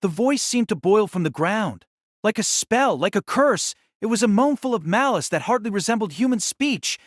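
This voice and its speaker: A man's voice: sits high, lively intonation, normal speed, no room sound, studio quality. A man narrating an audio drama in a dramatic, scripted style that rises and falls with the action.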